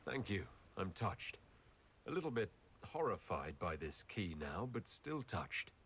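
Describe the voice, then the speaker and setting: Game role: composed male hero and leader, lowish voice, lively inflection, dryly polite. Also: measured pace, slight background noise, exaggerated voice. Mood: amused, touched. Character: composed, good-humoured heroic leader of an order. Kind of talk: video game cutscene acting.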